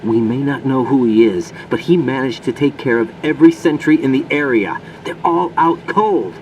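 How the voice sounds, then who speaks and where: A man's voice: medium pitch, normal speed, recorded from a distance, a little hiss or hum, lightly acted, poor audio. A man playing an earnest young special-forces agent in a video game, reporting tersely and asking questions of his commander. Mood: intrigued. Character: young male special-forces operative, earnest rookie infiltrator.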